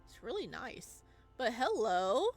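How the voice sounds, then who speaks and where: A woman's voice: on the high side, big pitch swings, takes it slowly, husky, faint music behind. A woman playing a visual novel, reading it aloud expressively and reacting with playful, casual commentary. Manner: playful, reacts with cooing and chuckles.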